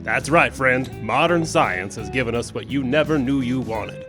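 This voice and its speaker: Actor for friendly male Western radio pitchman, medium pitch, spoof-commercial delivery, prominent guitar backing. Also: normal speed, natural voice. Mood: folksy, selling. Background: plucked guitar music.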